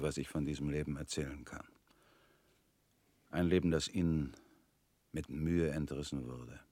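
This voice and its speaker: Weary ex-prisoner, very deep voice, subdued, radio drama, dry studio. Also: male voice, no echo. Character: former prisoner, man, weary and guarded.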